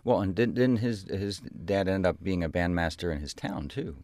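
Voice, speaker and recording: A man's voice: sits low, lively intonation, normal speed, darkish tone. A man hosting a radio interview, asking conversational questions with some hesitations. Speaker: radio host.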